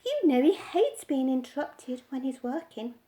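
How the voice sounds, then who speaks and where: Woman as a strict mum, clipped and scolding, lively pitch, storybook parent. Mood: firm. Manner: brisk and scolding. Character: firm, no-nonsense mother.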